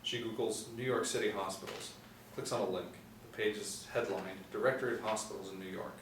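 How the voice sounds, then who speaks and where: A man's voice: lowish voice, fairly even intonation, a little fast. A middle-aged man reading stage directions as scripted narration at a screenplay table read. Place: small indoor room, seated group reading. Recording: roomy, distant mic, faint room noise.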